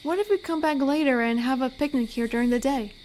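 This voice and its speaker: A fairly high female voice, gentle and teasing, plays a tender werewolf who protects a forest acted by a woman. She talks softly and closely to the listener in a roleplay audio drama. Faint cricket ambience sits behind her. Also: fast talker, slight background noise, close to an everyday voice. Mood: playful, hopeful. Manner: gentle, teasing, soft-spoken.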